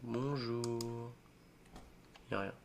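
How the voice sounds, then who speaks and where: A young man with a cold, voice a bit rough, joking and getting spooked as he plays a horror game for his channel. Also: medium pitch, steady tone, very slow, slight background noise. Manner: jokey, easily spooked, mutters reactions and swears. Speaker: gaming video creator. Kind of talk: solo horror game let's play commentary.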